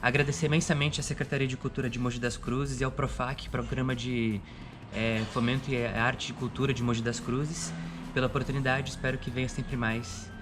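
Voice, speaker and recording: A man's voice: medium pitch, flat delivery, a little fast, noisy background. A Brazilian man speaking as a producer in an interview testimonial, giving a spoken account of a project. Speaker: producer of an audio drama. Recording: loud music behind the voice.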